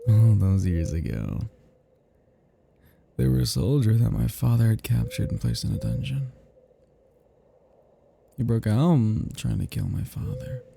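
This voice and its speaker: A man playing a seductive, menacing and possessive vampire in an intimate roleplay ASMR monologue, speaking in soft murmurs with a slow, teasing manner. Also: wildly swooping pitch, slight background noise, full character voice. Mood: darkly reminiscing. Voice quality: low, soft, breathy murmurs dropping into whispers. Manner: teasing, slow, lingering, chuckling between phrases.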